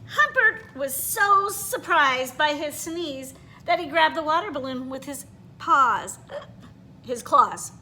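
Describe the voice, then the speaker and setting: A woman reading a children's picture book aloud in an expressive, animated way, pausing to put questions to her listeners. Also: high-pitched, a little hiss or hum, poor audio. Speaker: read-aloud storyteller.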